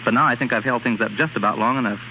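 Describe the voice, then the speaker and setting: A man's voice: mid-range voice, very fast. A man, a fashion expert guest, giving a scripted talk in 1930s radio style. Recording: hissy muffled 1930s radio recording.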